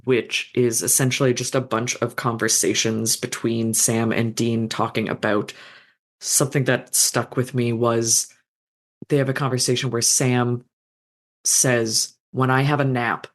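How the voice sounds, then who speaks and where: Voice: mid-range voice, level tone, a little slow. A fanfiction author in a casual podcast conversation, thoughtful and meandering, with frequent fillers and restarts.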